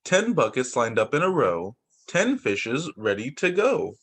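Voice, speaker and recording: A young man who teaches young children, reading picture books aloud in a sing-song, expressive way over a video call. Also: medium pitch, unhurried. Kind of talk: read-aloud story time for young children.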